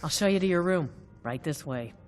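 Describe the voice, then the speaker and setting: A woman's voice: deep voice, expressive, brisk, darkish tone, soft background music. A woman playing a polite innkeeper in a fantasy video game, recorded with reverb. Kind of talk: video game character dialogue.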